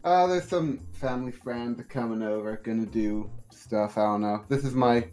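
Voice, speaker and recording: A man's voice: medium pitch, normal speed, faint music behind. A man co-hosting a casual podcast, goofy and playful, joking around. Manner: goofy, lively, playful jokes. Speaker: podcast co-host.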